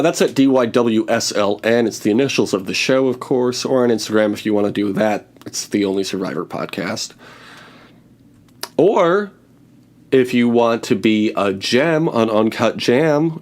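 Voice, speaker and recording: Man bantering as a podcast host. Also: lowish voice. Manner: joking, self-mocking. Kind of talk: casual comedic banter.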